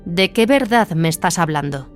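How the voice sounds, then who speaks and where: A woman's voice: fairly low pitch, lively intonation, moderate pace, soft background music, natural voice. A woman playing a wary, commanding warrior nun in a science fiction audio drama, serious and guarded. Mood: wary, challenging. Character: wary, commanding female battle sister squad leader.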